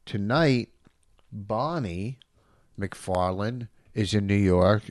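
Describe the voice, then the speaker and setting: A middle-aged man, a comedian hosting a podcast, chatting in an animated, jokey, rambling way. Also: lowish voice, very slow, dry recording, clean studio sound. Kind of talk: casual chat.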